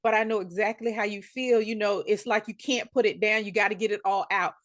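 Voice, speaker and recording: A woman hosts a storytelling podcast in a relaxed interview. She chats in an animated way and stretches words out for emphasis. Her voice sits at a middle pitch. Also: fairly quick. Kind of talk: casual interview conversation.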